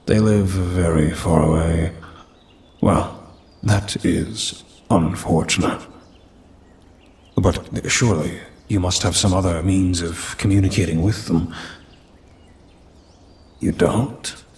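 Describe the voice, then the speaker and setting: A man as a deep, slow-spoken haughty ancient demon. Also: fairly even intonation, a little mellow. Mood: curious, dry. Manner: formal, pompous, dry complaining. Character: centuries-old male demon, haughty, grumbling, possessive yet caring. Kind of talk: scripted audio roleplay, ASMR.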